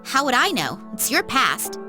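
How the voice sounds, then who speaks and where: High-pitched, animated young woman. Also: moderate pace. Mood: defensive. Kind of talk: anime video game voice acting. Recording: music behind the voice.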